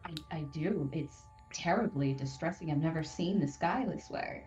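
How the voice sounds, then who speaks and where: Low-voiced woman in a roomy echo. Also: lively intonation, normal speed, a little mellow, highs cut off. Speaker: tabletop game player. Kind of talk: casual in-character roleplay. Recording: roomy echo, music underneath.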